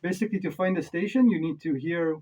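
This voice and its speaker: A man's voice: fairly high pitch, fast talker, darkish tone, poor audio. A man giving a casual, off-the-cuff gear review as a presenter, speaking in an informal, opinionated way with some mild swearing. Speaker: presenter reviewing synth modules.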